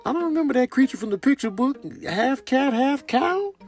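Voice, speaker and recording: A man's voice: very high voice, animated, moderate pace, soft background music. A man voicing a sarcastic, world-weary baby boy in a comic voice-over, complaining with dry scorn.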